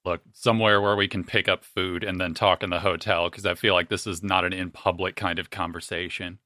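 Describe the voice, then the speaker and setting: A man's voice: low-pitched, level tone, brisk, sharp tone, no room sound, studio quality. A man playing a tabletop role-playing game, talking casually and partly in character.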